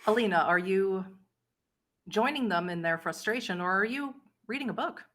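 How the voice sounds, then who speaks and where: A woman's voice: mid-range voice, expressive, moderate pace, a bit bright. A woman hosting and narrating a tabletop roleplay game as its storyteller.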